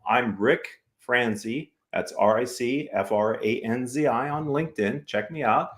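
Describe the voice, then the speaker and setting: A man's voice: medium pitch, unhurried, a bit bright. A man with an American accent hosting a conversational business interview, speaking in an affirming, complimentary way to his guests. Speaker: talk show host.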